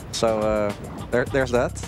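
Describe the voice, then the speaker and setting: A man's voice: mid-range voice, steady tone, a little slow. A man giving casual live commentary as a speedrunner, with wry, hesitant asides. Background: video game music.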